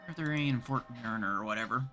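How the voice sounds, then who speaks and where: A man's voice: medium pitch, racing, pushed and bright, faint music behind. A man with a Canadian accent, chatting casually as a livestream host and thinking aloud while he plays.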